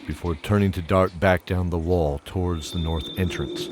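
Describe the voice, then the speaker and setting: A man narrating a fantasy audio drama from a script in a measured storytelling manner. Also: low-pitched, fairly even intonation, noisy background. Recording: music playing behind.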